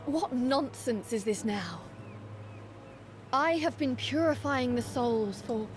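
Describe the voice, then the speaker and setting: Casting: dutiful, proud warrior maiden, fairly high voice, very deliberate formal delivery, over loud game music. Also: female voice, expressive. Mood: commanding. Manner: formal, archaic, measured phrasing. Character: solemn, proud young female Valkyrie warrior, dutiful and stern. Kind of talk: dramatic fantasy video game acting. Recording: loud orchestral music behind voice.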